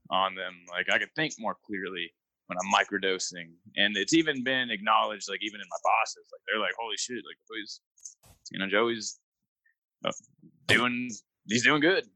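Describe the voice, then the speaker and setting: Laid-back man with a bright voice. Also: on the low side, moderate pace, no echo, low-quality recording. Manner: laid-back, rambling, filler-heavy. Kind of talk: casual chat.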